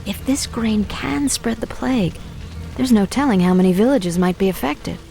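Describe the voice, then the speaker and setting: An actress playing a poised, thoughtful young sorceress in a fantasy video game, composed and articulate. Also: female voice, fairly high pitch, normal speed, gravelly, mellow, soft background music. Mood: concerned.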